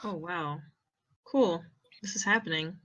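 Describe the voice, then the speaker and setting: A nervous young man who likes to tease voiced by a woman, in a fan-made audio drama. The voice is on the low side and very dry, with casual, clipped delivery. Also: a little slow, a bit bright, no echo. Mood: awkward excitement.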